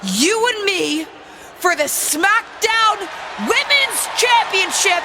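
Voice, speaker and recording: A woman's voice: very high voice, lively intonation, takes it slowly, noisy background, full character voice. A woman playing a defiant young wrestler in a video game, delivering an indignant, sarcastic and emphatic promo. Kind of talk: shouted wrestling promo acting.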